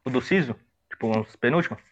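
A man's voice: mid-range voice, quick pace, lo-fi sound. A young man from São Paulo with a Paulistano accent, chatting casually and joking with slangy, rambling talk on a livestream podcast. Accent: Paulistano Brazilian Portuguese. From São Paulo, Brazil. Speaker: podcast co-host.

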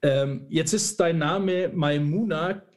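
A man's voice: fairly high pitch, slow pace. A man hosting a casual conversational interview in an informal, jokey, somewhat hesitant manner. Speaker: podcast host, interviewer.

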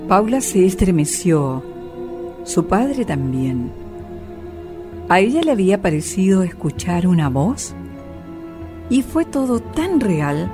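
A woman narrating a radio drama as storyteller, solemn and measured in her delivery. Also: on the low side, full character voice.

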